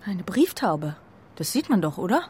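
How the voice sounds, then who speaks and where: An actress playing a proud but shaken young homing pigeon in a children's radio play, earnest and hesitant, often dropping into a hush. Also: female voice, fairly low pitch, sing-song, normal speed, a little hiss or hum. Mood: defensive.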